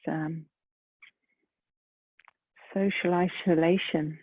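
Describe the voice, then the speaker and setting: A woman leading a guided meditation in a gentle, soft, breathy voice, unhurried, with long pauses between phrases. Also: deep voice, fairly even intonation. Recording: muffled phone-like lo-fi sound.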